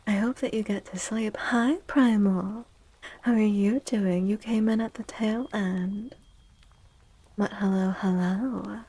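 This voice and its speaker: Female ASMR live stream host: slow, soft and mellow, drowsy chatty delivery that slips into whispers. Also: mid-range voice, fairly even intonation, poor audio. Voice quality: soft, breathy. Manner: very chill, drowsy, often dropping to a whisper. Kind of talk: soft-spoken ASMR chat with stream viewers.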